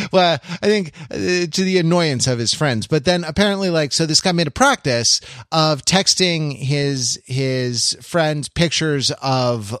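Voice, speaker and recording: Wry, halting man in a dry recording. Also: mid-range voice, a bit bright, no room sound. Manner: halting, wry, self-interrupting. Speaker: podcast co-host. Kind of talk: casual discussion, summarizing and quoting an article.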